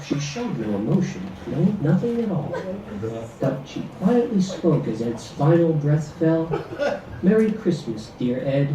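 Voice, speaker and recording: A man recites rhyming comic verse in a theatrical manner. His pitch is high and swings widely, and the recording is distant and roomy, with some noise behind him. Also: very animated, unhurried. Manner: dramatic, playing to an audience. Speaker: reader performing a comic poem. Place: club performance room. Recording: echoey, noisy stage recording from far off.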